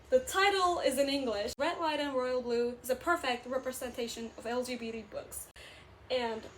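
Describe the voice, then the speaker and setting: A woman's voice: high voice, a little hiss or hum, poor audio. A young woman from Turkey with a Turkish accent, chatting enthusiastically about favorite books in a casual vlog, full of fillers and self-corrections. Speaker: booktube vlogger. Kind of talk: casual book recommendation chat.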